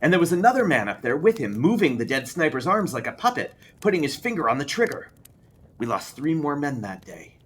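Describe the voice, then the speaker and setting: A man's voice: a little high, sing-song, brisk, fairly bright tone, a little hiss or hum, voice pushed up. A man voicing a haunted, defensive male war veteran, telling his memory with clipped intensity in a horror story. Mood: haunted, urgent. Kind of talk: audiobook-style character voice in a horror story.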